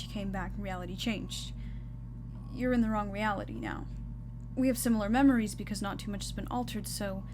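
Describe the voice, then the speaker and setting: A woman's voice: mid-range voice, noisy background, natural voice. A woman playing a stern, exacting senior agent in an audio drama, blunt and reproachful.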